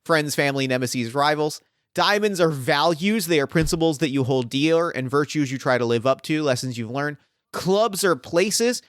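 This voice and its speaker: A man's voice: fairly high pitch, animated, moderate pace, clean tone, dry recording. An enthusiastic man hosting and guiding a tabletop roleplaying game as game master. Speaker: game master and podcast host.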